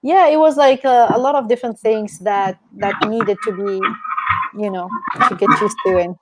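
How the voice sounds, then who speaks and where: A woman's voice: medium pitch, steady tone, moderate pace, poor audio. A woman from Algeria, a business coach, answering interview questions in a reflective way with some restarts.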